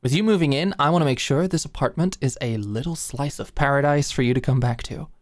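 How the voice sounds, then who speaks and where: A woman's voice: a bass voice, fairly quick, no room sound. A woman playing an affectionate, fussing and coaxing ex-manager girlfriend in an intimate ASMR roleplay. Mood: sheepish, confessing. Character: doting, eager, dominant ex-manager girlfriend at home.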